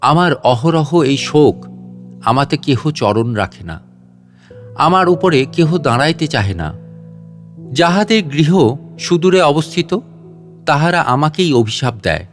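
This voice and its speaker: Guy reading an old story real slow and solemn, soft guitar behind him. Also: on the high side, lively intonation. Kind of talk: slow literary narration of a classic story. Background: soft guitar music.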